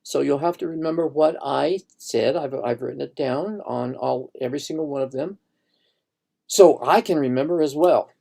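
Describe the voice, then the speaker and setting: A man's voice: on the high side, normal speed, creaky, dark tone. A man, a painter, casually walking viewers through his own online exhibition, rambling and thinking aloud with restarts. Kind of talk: casual live gallery tour.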